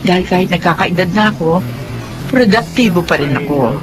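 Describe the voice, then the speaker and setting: A woman's voice: fairly low pitch, expressive, a little fast, over music, natural voice. A woman with a Filipino accent playing an aging, hardworking widowed mother in a radio drama, earnest and polite. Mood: content. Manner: earnest, deferential.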